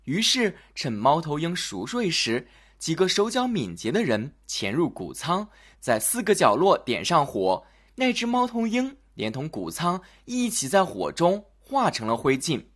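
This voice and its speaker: Brief: narrator for children's fairy tales, dramatic and highly animated, with some huskiness in the voice. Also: a little slow, hardly any pauses, slightly rough. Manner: expressive, dramatic delivery for children. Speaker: children's storyteller. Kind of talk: scripted fairy-tale narration.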